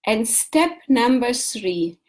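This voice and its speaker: A woman with a German accent hosts a live business talk show, her voice pitched a little high. She lays out her advice in lists and repeats key points as she teaches. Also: animated, slow pace. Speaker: show host, business coach.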